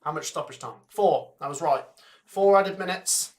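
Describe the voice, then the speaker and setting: A man giving live fan commentary on a match, excitable and shouting at goals, his voice going hoarse and breathless. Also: a little high, moderate pace. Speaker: watchalong host commentator.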